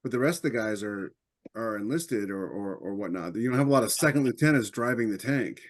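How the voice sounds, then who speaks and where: A man's voice: fairly low pitch, animated, brisk. An American man co-hosting a podcast, talking in a casual, anecdotal way. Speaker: podcast co-host, army veteran.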